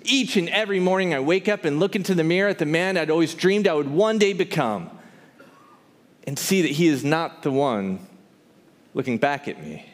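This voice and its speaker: A man's voice: a little high, expressive, normal speed. A man performing theatrical spoken word poetry to a live audience, with an impassioned, emphatic, rhythmic rhyming delivery. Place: large hall, live before an audience. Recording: reverberant hall, live stage performance.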